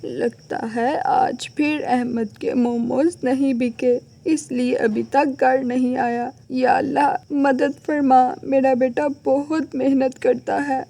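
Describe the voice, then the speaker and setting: A woman's voice: high voice, flat delivery, slow, hardly any pauses, voice pushed down, full character voice. A woman voicing a tired, loving, anxious elderly widowed mother in a cartoon moral story. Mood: worried, praying. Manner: gentle, anxious, doting.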